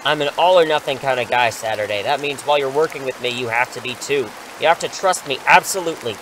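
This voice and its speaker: A man's voice: mid-range voice, fast talker, background noise, natural voice. A man playing an eager, cocky English teacher who is secretly a superhero, breezy and persuasive, in an audio drama. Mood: urgent, persuasive.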